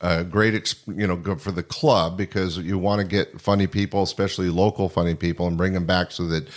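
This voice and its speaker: A man's voice: sits low, steady tone, a little fast. An American man in his sixties hosting a casual interview, reminiscing and affirming his guests. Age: 60s. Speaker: interview host.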